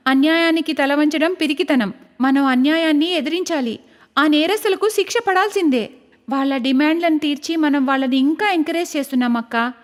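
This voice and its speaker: A woman's voice: sits high, brisk, close to an everyday voice. A woman voicing an anxious, principled young village daughter-in-law in a cartoon story, pleading and earnest. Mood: earnest, indignant.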